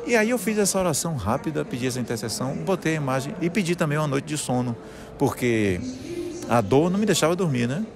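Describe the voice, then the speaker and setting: A middle-aged man tells his story earnestly, stumbling over his words and adding little tags at the end of his phrases. His voice has a gravelly edge. Music plays clearly behind him at a busy fair stand. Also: on the high side, big pitch swings, fast talker. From Salvador, Brazil. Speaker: guest, musician and conductor. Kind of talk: personal testimony in an interview.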